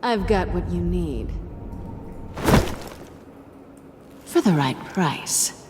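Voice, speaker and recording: An actress voicing a guarded, wry thief for hire in a video game drama, speaking in a businesslike way. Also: female voice, lowish voice, animated, slow, over loud music. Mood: wry, flirtatious. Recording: faint background noise.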